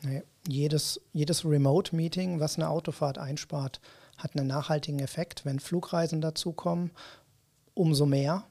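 A man, a media coach, answering interview questions in a calm, measured way. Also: a little high, gravelly. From Germany.

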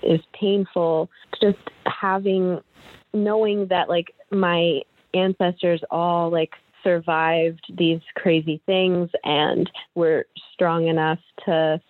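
A woman's voice: lowish voice, little pitch movement, slow, dry recording. A young woman interview guest, talking in a hesitant, rambling way with many restarts. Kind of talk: casual interview chat. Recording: dry, tinny phone-like line.

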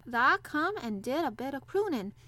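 A woman's voice: high-pitched, sing-song, moderate pace, sharp tone, dry recording, pitched above the performer's own voice, full character voice. Woman as country boy, Yorkshire accent, earnest, friendly, wondering, storybook, dry recording. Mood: eager, wondering.

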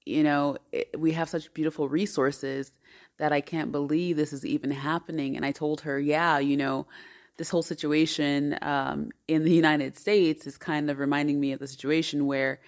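A woman's voice: sits low, darkish tone. A woman in her thirties, a podcast host, telling a personal story in an earnest, chatty way. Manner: chatty and earnest, with many fillers and restarts.

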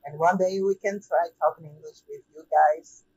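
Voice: medium pitch, steady tone, unhurried, a little mellow, lo-fi sound, slight background noise. A speaker with a Brazilian accent co-hosting a podcast in casual, slangy, informal talk.

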